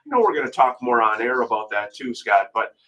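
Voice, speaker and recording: A man's voice: medium pitch, sing-song, brisk, bright, forceful tone. An American man hosting a live radio talk show, his voice slightly froggy from a cold. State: battling a cold. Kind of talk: live call-in real estate radio talk.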